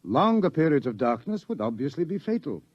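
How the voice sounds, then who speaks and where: A dramatic radio scientist, fairly high in pitch and swooping with emphasis. He lectures with grim certainty on a dull, lo-fi recording. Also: very animated, no echo. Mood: authoritative. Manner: emphatic, theatrical, ominous pauses. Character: grimly certain scientist doctor, lecturing on coming madness. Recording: dull, dry vintage radio sound.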